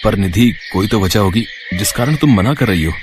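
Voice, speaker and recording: A man's voice: on the low side, animated, fairly quick, noisy background, natural voice. A man playing an earnest young suitor in a moral story, speaking plainly. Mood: puzzled.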